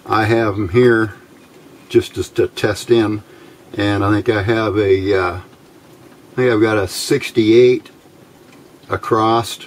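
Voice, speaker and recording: Slow-talking man with a low voice. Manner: halting, pausing between short phrases. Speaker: electronics hobbyist demonstrating a homemade circuit. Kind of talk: informal hands-on tutorial. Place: indoors at a workbench. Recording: steady clear background noise.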